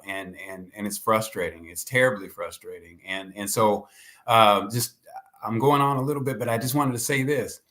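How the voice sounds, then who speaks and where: Low-voiced man giving deliberate expert commentary. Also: a bit bright. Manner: deliberate, repeating words as he builds points. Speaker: guest, history professor.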